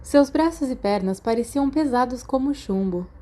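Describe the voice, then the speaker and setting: A woman with a Brazilian accent reading a story aloud as an expressive audiobook narrator. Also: high-pitched, moderate pace.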